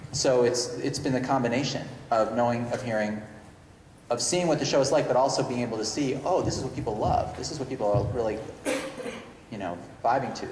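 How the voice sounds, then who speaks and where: A middle-aged man speaking off the cuff as a writer guest on a panel, halting and often correcting himself mid-thought. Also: medium pitch. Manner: halting, rambling, self-correcting. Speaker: screenwriter guest at convention Q&A. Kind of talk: unscripted panel talk. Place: large indoor convention panel room. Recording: echoey reverberant hall, noticeable noise.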